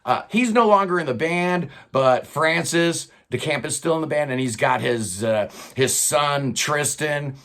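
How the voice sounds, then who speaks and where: Older male host, slightly high voice, enthusiastic casual countdown patter, home studio. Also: measured pace, hardly any pauses, fairly bright tone. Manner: enthusiastic, loose and chatty, with fillers. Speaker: host of a prog rock channel. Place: record-filled home studio room.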